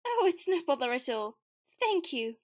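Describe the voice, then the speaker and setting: A woman voicing Mrs. Kindley, a gentle and grateful older woman recovering from illness, in a fan audio drama. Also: high-pitched, big pitch swings, normal speed, a little hiss or hum. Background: loud music.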